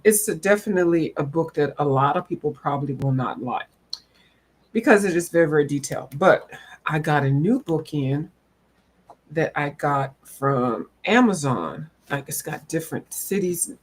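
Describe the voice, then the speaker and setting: A woman chatting casually to viewers in a folksy, rambling way. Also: low-pitched. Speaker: coloring channel host. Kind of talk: casual chat to viewers about coloring books.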